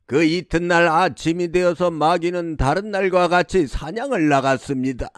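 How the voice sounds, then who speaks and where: A man's voice: high voice, smooth, dry recording, clean studio sound. A man narrating a scripted fairy tale as a storyteller.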